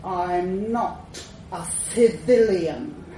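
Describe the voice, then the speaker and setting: Woman as storybook female dragon, furious and menacing, slow snarl, distant echoey recording. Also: lowish voice, warm tone. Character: angry menacing female dragon craving cigarettes. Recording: echoey room, distant mic, faint noise.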